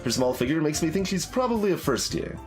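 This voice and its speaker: Lively man reading a game aloud. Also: a little high, quick pace, soft background music. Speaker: livestreamer playing a game. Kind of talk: reading visual novel dialogue aloud with casual commentary.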